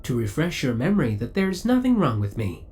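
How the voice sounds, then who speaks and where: Spaceship AI , played by a man: formal, courteous, politely obsessive, with animated pitch swings, for an ASMR roleplay. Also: brisk. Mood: politely defensive. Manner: formal, courteous, precise servant-like delivery. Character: devoted, possessive male spaceship AI, politely obsessive.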